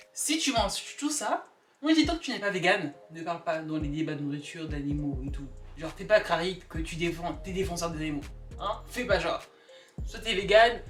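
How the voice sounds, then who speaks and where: A woman chatting casually and comically to camera, emphatic and animated, repeating words for effect. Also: on the low side, normal speed. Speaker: vlogger. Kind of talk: casual comedic opinion chat to camera. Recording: echoey room, distant mic, background music.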